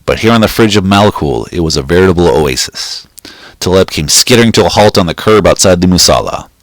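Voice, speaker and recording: A man reading a short story aloud in a steady, measured way, with the odd small slip. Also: low-pitched, no echo. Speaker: reader.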